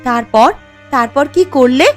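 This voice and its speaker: Actress as caring young wife, cartoon drama; high voice, gentle reasoning, faint music. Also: female voice, a little slow. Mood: concerned. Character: concerned, sensible young village wife. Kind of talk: dramatic cartoon story dialogue.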